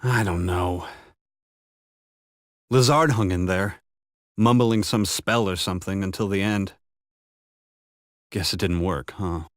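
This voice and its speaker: An actor playing a brash, determined young warrior in a fantasy video game, with a blunt, casual, emotive way of talking. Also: male voice, fairly low pitch, no room sound, studio quality, lightly acted. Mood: somber, weary. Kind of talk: video game cutscene voice acting.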